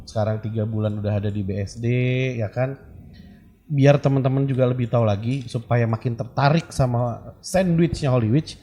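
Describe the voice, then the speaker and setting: A man's voice: mid-range voice, normal speed, warm tone, slightly rough. A man hosting a casual podcast chat, joking and bantering with his guests. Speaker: podcast host.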